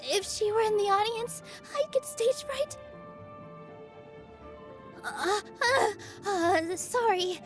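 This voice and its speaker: Game role: gentle, cheerful young female dancer, high-pitched, earnest, easily flustered, over soft background music. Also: takes it slowly, long pauses. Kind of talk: video game character voice lines.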